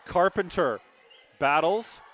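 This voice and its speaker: High-pitched young male volleyball play-by-play announcer. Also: lively intonation, slow pace, a bit bright. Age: young adult. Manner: emphatic, dramatic calls. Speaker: play-by-play sportscaster. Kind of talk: live volleyball commentary. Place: courtside in a gymnasium.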